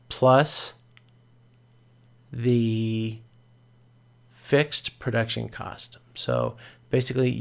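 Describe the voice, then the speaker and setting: A man giving an informal explanatory lecture as an instructor, often pausing to repeat and rephrase himself. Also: mid-range voice, gravelly.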